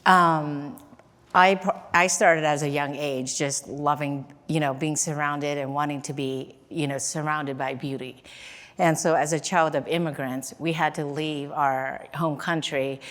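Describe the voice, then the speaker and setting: A woman's voice: deep voice, steady tone, a little slow, fairly bright tone. A woman from Laos answering on a panel, hesitant, with many fillers. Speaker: designer panelist. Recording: long reverb of a hall.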